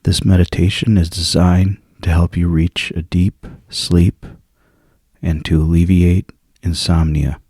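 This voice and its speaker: A man leading a guided sleep meditation in a soft, hushed, soothing and husky voice, with long pauses between phrases. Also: low voice, level tone, no echo, studio quality.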